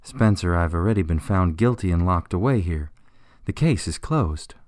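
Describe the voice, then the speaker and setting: A man as low-voiced, evasive jailed politician. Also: animated, moderate pace, a little mellow, dry recording, studio quality. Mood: guarded. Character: imprisoned male ex-governor, smooth, evasive, stubborn politician. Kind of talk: radio drama dialogue.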